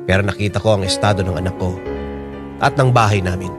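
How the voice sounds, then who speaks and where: A man narrating his story in the first person, measured and brooding. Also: low voice, steady tone, loud music behind the voice. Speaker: narrator as the father.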